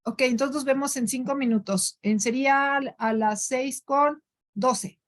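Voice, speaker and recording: Instructora mexicana, voz aguda, habla coloquial con muletillas; llamada en línea. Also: female voice, sits high, a little slow. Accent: Mexican Spanish. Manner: conversational, frequent fillers and self-corrections. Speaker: workshop instructor. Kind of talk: online training session.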